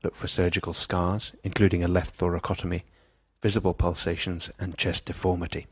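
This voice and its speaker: A man reading scripted medical instruction in a measured, precise, impersonal manner. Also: sits low, flat delivery, slightly rough, dry recording. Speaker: instructional narrator.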